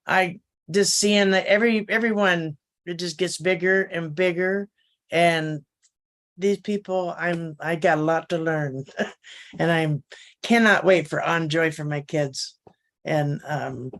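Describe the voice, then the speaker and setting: A woman making casual, unscripted remarks on a video call as a guest, folksy and rambling in manner. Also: fairly low pitch. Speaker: guest speaker giving a testimonial.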